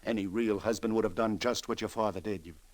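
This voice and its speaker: A low-pitched, sympathetic police lieutenant played by a male actor from an old radio detective drama. He is plain-spoken and earnest, picking his words haltingly. The sound is close and dry. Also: fairly even intonation, darkish tone, no echo, close to an everyday voice. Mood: earnest, reassuring. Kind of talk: 1940s-50s radio drama acting.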